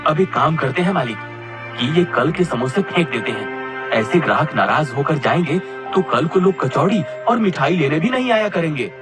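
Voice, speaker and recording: A man's voice: on the high side, expressive, moderate pace, close to an everyday voice. A man playing an insistent, complaining shop helper in a cartoon moral story. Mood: exasperated. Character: nagging, exasperated male shop helper pleading with boss. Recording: clear background music under the voice.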